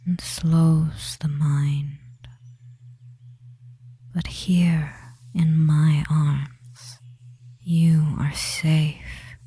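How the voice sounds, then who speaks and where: A performer voicing a devious, soothing femboy witch in a hypnosis ASMR roleplay, in a soft breathy whisper with a slow, lulling manner and long pauses. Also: medium pitch, full character voice. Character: devious soothing witch of the deep wood, feminine young man.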